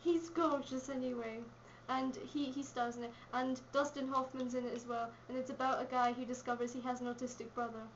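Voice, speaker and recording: A woman's voice: sits high, little pitch movement, fairly quick, hardly any pauses, dull audio. Brief: giggly co-host woman, English-Scottish mix, faint hiss behind her. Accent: mixed English and Scottish. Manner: giggly, teasing. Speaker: vlog co-host. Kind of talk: casual chat.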